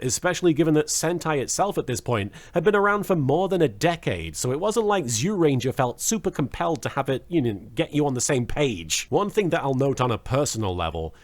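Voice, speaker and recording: A man with a somewhat high, very lively voice delivers casual review commentary as a host. His pitch swings widely as he moves through wry, joking asides and the odd swear. The delivery is informal and humorous throughout. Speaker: host of a watch-along review.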